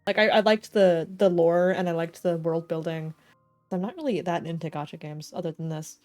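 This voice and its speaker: A woman's voice: lowish voice, moderate pace, a little mellow, soft background music. A woman livestreamer giving casual, joking, slangy reactions as she plays a game. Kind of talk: casual game playthrough commentary.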